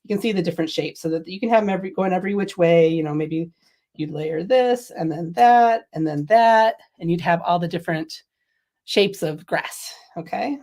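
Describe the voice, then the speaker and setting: Middle-aged woman, lowish voice, chatty craft host, slightly sniffly from a cold. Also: moderate pace, warm tone. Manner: chatty, laughs often, reads viewer comments. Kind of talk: casual product demo chat.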